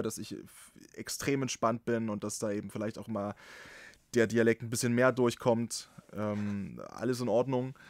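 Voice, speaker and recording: A young man from Saxony hosting a podcast interview in a chatty, animated way, with many fillers and frequent laughs. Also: on the low side, no room sound. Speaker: podcast host. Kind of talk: casual interview chat.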